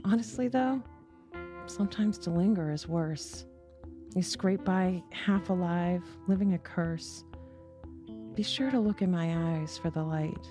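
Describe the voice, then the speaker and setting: Woman as low, weary addict reciting verse. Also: a little slow, warm tone, soft background music, close to an everyday voice. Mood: resigned, bleak. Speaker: narrator. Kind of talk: recited rhyming verse in audio drama.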